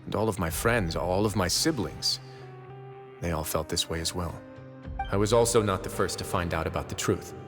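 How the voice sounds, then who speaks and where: A man playing a composed, brooding duke who runs a fortress, speaking gravely and deliberately in video game dialogue. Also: sits low, over music, close to an everyday voice. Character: composed, brooding adult male fortress duke with dark past.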